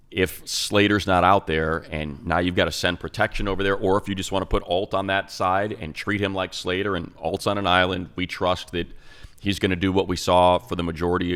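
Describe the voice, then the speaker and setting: A man co-hosting a sports talk show, running through stats quickly with restarts and asides. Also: sits low, fairly bright tone, clean tone. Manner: fast, stat-heavy, self-interrupting. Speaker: radio play-by-play announcer, co-host.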